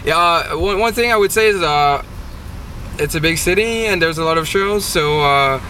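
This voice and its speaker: A man from Montreal with a Québécois French accent, a band guest chatting easily, halting with fillers. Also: on the high side, sharp tone. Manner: easygoing, halting, many fillers. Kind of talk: casual interview chat. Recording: distant mic with faint noise.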